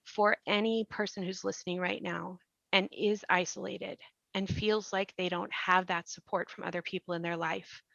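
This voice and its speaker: A woman with a fairly low voice and noticeable vocal fry speaks earnestly as an advocate hosting a discussion. She is measured and deliberate, pausing as she reflects. The audio is very dry and slightly dull. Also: steady tone, fairly bright tone, no echo. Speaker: host and survivor advocate.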